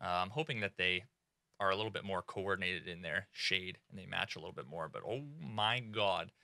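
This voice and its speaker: A young man with a bright, sharp, rather low voice chats about game gear from a small home streaming room. He talks excitably, drops swear words and fills pauses with "uh". The sound is close and dry at a desk mic. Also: vocal fry, dry recording. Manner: excitable, swears freely, many fillers. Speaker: gaming streamer. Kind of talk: casual gaming commentary.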